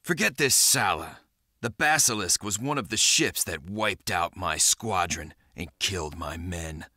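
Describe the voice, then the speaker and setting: A swaggering pirate captain in a game cutscene. His voice is low and hard-edged, with a very lively melody. He sounds cocky, brash and bent on revenge. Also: measured pace, exaggerated voice. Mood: vengeful. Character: cocky, vengeful young male pirate captain. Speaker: video game protagonist and narrator. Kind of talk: dramatic game cutscene acting and narration.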